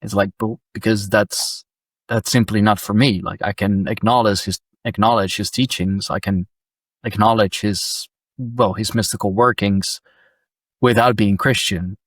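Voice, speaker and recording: A man's voice: lowish voice, steady tone, a little slow, fairly bright tone, no echo. A young Dutch man hosting a podcast, talking hesitantly with frequent restarts and fillers. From Netherlands. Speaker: podcast host. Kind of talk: conversational interview.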